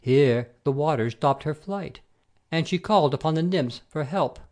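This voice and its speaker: A man playing a wily messenger god posing as a simple shepherd, with an expressive storyteller's delivery in an amateur audio play. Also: a little high, normal speed, close to an everyday voice. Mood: lyrical storytelling.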